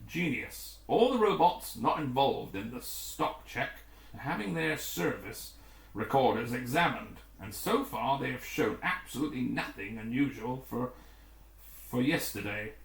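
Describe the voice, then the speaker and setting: A man's voice: medium pitch, animated, a little slow, gravelly. A man reading the part of an earnest rescue crew technician in a storybook, reporting in a matter-of-fact way. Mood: puzzled. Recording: roomy, echoing room.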